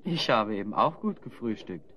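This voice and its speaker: A man playing a shrewd, self-assured adventurer hero in a children's audio drama, speaking in a knowing, animated way. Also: fairly low pitch, normal speed, highs cut off, slight background noise. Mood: knowing, sly.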